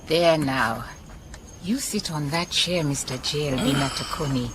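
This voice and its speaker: An actress playing a warm, wise woman detective with a Southern African accent in a radio drama, speaking in a measured, emphatic way. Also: sits low. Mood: contented, warm. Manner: unhurried, measured, emphatic repetition. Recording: noticeable background noise, chirping night insects.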